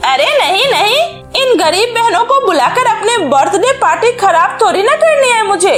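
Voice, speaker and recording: A woman's voice: very high-pitched, lively intonation, normal speed, over loud music. A woman voicing a snobbish, boastful rich schoolgirl in a cartoon story, gloating and taunting. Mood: mocking.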